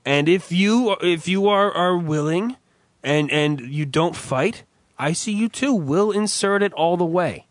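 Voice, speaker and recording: Male podcast host, raspy after a cough, profane rambler, slightly high voice, dry sound. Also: unhurried, no echo. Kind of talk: crude comedic podcast chat.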